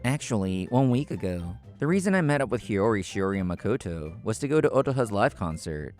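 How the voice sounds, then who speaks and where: A man with a low voice narrates a scripted story. His delivery is measured and explanatory, told as the main character himself. Soft music plays underneath. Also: sing-song. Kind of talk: scripted first-person narration.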